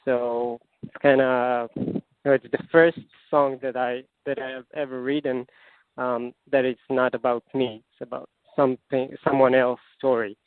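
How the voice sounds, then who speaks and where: A man's voice: mid-range voice, little pitch movement, clean tone, dry recording. Israeli man on a tinny phone line. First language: Hebrew. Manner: halting, many fillers and restarts. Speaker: singer-songwriter guest. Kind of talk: casual interview answers.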